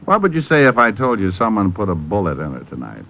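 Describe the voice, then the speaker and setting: A cocky private eye with a lowish voice. He is breezy and sardonic, tossing off hard-boiled wisecracks in vintage radio drama style. The old recording sounds muffled. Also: huge pitch swings, fast talker, slight background noise. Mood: teasing, hard-boiled. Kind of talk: 1940s-50s radio detective drama acting and narration.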